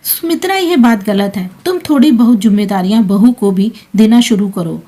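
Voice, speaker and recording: A woman's voice: mid-range voice, animated, moderate pace, warm tone, close to an everyday voice. A woman voicing a caring, protective elderly husband in a family story, concerned and admonishing in manner.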